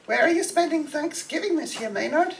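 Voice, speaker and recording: A woman's voice: high-pitched, lively intonation, a little fast, vocal fry, distant mic, a little hiss or hum. A woman playing a fussy, sweet, self-conscious aunt with a crush in an old-time radio sitcom. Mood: flustered, sweet.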